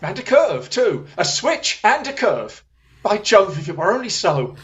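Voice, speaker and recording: A man acting a brilliant, brisk detective in a radio play, sardonic and intense, on slightly dull audio. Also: high-pitched, big pitch swings, a bit bright, exaggerated voice. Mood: intent.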